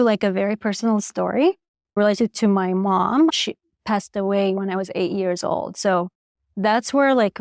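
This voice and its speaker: A woman's voice: mid-range voice, no room sound, clean studio sound. A young woman from Iraq whose first language is Chaldean, a public health researcher talking reflectively in an interview. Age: young adult. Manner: soft-spoken, reflective, frequent "like" and restarts. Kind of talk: interview conversation.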